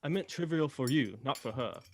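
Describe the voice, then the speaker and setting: Male actor as gentleman sidekick, earnest, fussy, proper, lively intonation, slight background noise, radio drama. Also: mid-range voice, fairly quick, gravelly. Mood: concerned, fussy. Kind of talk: radio play acting.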